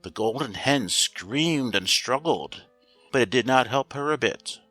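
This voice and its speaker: A man narrating a scripted fairy tale as a storyteller, reading aloud in a measured, expressive way. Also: mid-range voice. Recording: roomy echo, faint music behind.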